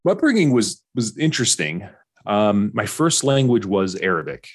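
A man in his forties with an American accent and a fairly low voice. He speaks as an interview guest, candid and informal. His delivery halts and restarts, with phrases repeated for emphasis. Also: sing-song, normal speed. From Orange County, California. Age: 40s. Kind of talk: candid personal storytelling in conversation.

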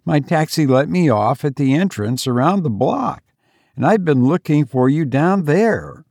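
An earnest, youthful son of college age, played by a male narrator, pitched a little high. He sounds clear and apologetic, in a dry, close story recording. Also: lively intonation, a little slow, a little mellow, no room sound.

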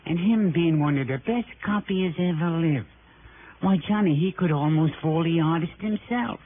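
A man's voice: a little high, normal speed, lightly acted. A man playing a sly, grasping small-time informer, streetwise and wheedling, in an early 1960s radio drama. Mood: confiding. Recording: hissy, muffled old radio broadcast.

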